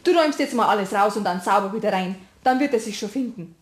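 A woman's voice: mid-range voice, expressive, natural voice. A woman playing a strict, conscientious mother in a children's radio play, with a Bavarian accent and a firm manner. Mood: exasperated, scolding. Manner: scolding, brisk, firm.

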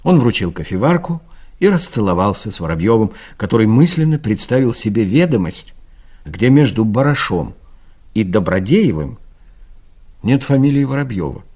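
A man narrates an audio play in a lowish, slightly rough voice. His storytelling is dry and ironic. The intonation is animated, rising and dropping widely. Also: moderate pace. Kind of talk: scripted satirical storytelling narration.

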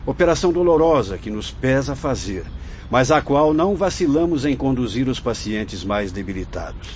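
A dull, noisy recording carries a man playing a solemn male spirit physician of a radio drama. He instructs gravely in a medium-pitched voice, with formal, measured, learned phrasing. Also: sing-song, a little mellow, natural voice. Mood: patient, instructive. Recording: dull lo-fi audio with background noise.

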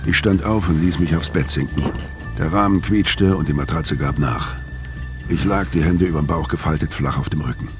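Very deep, gruff, world-weary hitman. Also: normal speed. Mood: brooding narration. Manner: terse, world-weary, brusque. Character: gruff terminally ill male hitman, bitter and curt. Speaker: first-person narrator and lead character. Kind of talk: noir radio drama dialogue and narration. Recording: studio radio play with music.

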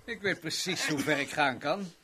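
A man's voice: fairly high pitch, moderate pace, sharp tone, husky. An actor playing a menacing, domineering rich businessman in a radio play, sneering and taunting as he gives orders. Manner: commanding, taunting, theatrical. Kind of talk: 1970s Dutch radio play acting. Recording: noisy old radio drama recording.

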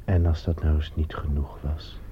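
An actor playing a wary, desperate morphine addict in a radio play, hesitant and guarded in manner. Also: male voice, a bass voice, level tone, normal speed, breathy edge, full character voice. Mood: cautious, interested. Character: wary, desperate male morphine addict, disgraced former cadet. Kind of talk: Dutch radio play acting. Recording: muffled, noisy old radio play recording.

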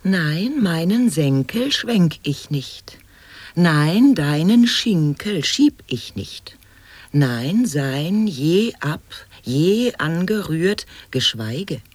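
An actor in a radio play voicing an eccentric declaimer of absurd wordplay and rhymes in theatrical, deliberate German stage delivery. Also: mid-range voice, big pitch swings, darkish tone, no room sound, exaggerated voice. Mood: defiant. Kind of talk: avant-garde radio drama monologue, sound poetry.

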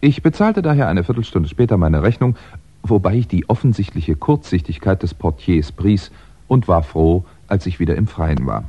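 An actor playing a polite, brooding, middle-aged crime writer in a 1960s German radio play, speaking in a measured, dryly understated way. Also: male voice, low-pitched, animated, warm tone. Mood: wry narrating. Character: hunted male crime novelist, polite, brooding, wry. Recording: dull 1960s radio recording.

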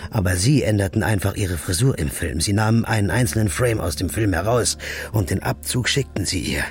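A man's voice: lowish voice, brisk, close to an everyday voice. An actor playing a grim, desperate man confronting the killer of his girlfriend in a radio play. He asks blunt, accusing questions, sometimes dropping to a whisper.

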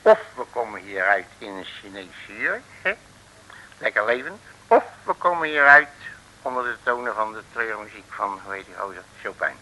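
An actor playing a cocky, folksy detective in a comic 1950s radio serial, bossy, wisecracking and colloquial, with hesitant asides. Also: male voice, on the low side, very animated, moderate pace, pushed and bright. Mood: cajoling. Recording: noisy old radio drama recording.

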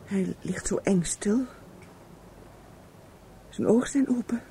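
A woman's voice: mid-range voice, slow pace, mellow, a little hiss or hum. An actress playing an anxious, conscientious woman who speaks with urgent pleading in a radio play. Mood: hushed dread. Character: anxious, conscientious woman urging her partner. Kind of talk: Dutch radio play acting.

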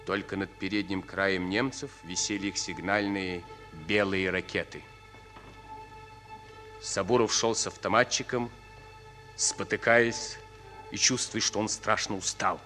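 A forceful, low-voiced wartime narrator. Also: male voice, fairly even intonation, soft background music. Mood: solemn. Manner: solemn, deliberate, dramatic storytelling. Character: grave male narrator of a wartime story. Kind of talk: Soviet radio drama narration.

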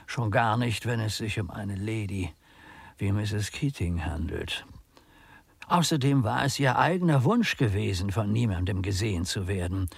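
A man's voice: lowish voice, normal speed, vocal fry, breathy edge, no echo. An actor playing an anxious, earnest male undertaker in a German radio play, formal and polite, stammering when flustered. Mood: quiet, solemn. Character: anxious, earnest small-town male undertaker, secretive and proper.

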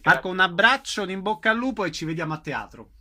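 A man hosting a radio theatre show in a studio, introducing guests and announcing shows in a measured way. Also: high-pitched, lively intonation, pushed and bright, a little hiss or hum. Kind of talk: radio interview chat.

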